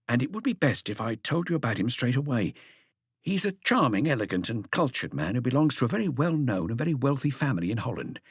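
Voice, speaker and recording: A man's voice: medium pitch, breathy edge, dry recording, clean studio sound, exaggerated voice. A man voicing an earnest, slightly awkward thirty-five-year-old police inspector reporting in careful detail in an audiobook. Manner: detailed, deferential reporting with asides.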